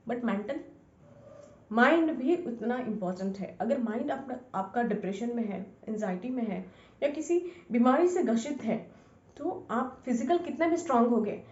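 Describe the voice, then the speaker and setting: A woman's voice: on the high side, darkish tone. A woman speaks as an instructor, coaching the listener directly in an informal way. She often repeats a phrase and drops in English words. The recording is dull and lo-fi, with plenty of room echo. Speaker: instructor, scientist. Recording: echoey room, dull lo-fi sound.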